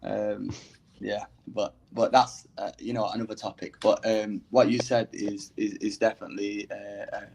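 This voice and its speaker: A man with a Manchester accent and a slight Irish lilt, talking in a casual discussion, pausing often with ums and restarts. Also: on the low side, little pitch movement, vocal fry, a little mellow, a little hiss or hum. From England. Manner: hesitant, many ums and restarts.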